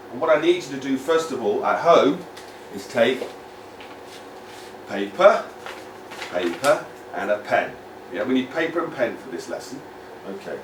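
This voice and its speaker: A British man from the United Kingdom, an English teacher giving a lively lesson to children, repeating words and asking the viewer questions. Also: medium pitch, noisy background. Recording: echoey room, mic at a distance.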